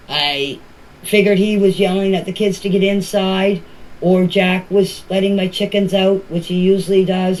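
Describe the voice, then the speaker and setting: A woman's voice: medium pitch, darkish tone. A middle-aged or older woman recounting events informally in voice messages, plainly and step by step, with colloquial grammar. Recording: noisy voice-message clips.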